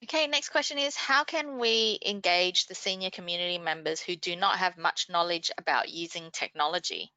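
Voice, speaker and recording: A woman's voice: on the low side, moderate pace, few pauses, sharp tone, clean tone, dry recording, low-quality recording. A woman moderating an online webinar, politely reading out questions and signing off in an orderly way. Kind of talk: scripted-sounding question reading and sign-off.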